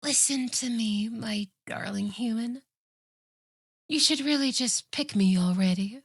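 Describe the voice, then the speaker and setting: Obsessive anime fox girl, played by a woman: high-ish, dry close sound, coaxing, boastful, theatrically devoted, ASMR roleplay. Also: unhurried, husky, dry recording. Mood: pleading, possessive. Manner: doting, smug, coaxing, theatrical.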